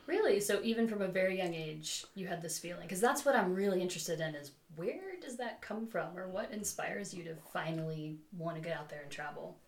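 A woman's voice: lowish voice, brisk, hardly any pauses. A woman hosting a travel podcast interview, eager and chatty as she introduces and questions her guest. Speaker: podcast host. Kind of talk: casual interview chat.

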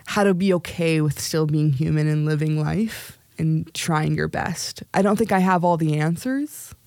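Podcast host from Palestine, mid-pitched, soft-spoken, dry studio sound. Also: moderate pace, no echo. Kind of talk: podcast conversation.

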